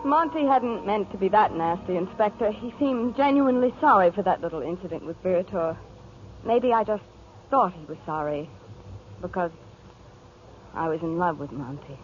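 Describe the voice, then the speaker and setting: A woman's voice: on the low side, animated, normal speed, lightly acted. An actress playing an anguished businesswoman and mother in 1940s radio acting style. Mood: wistful. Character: anguished restaurant businesswoman and mother, grown woman under questioning. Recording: muffled, noisy 1940s radio broadcast.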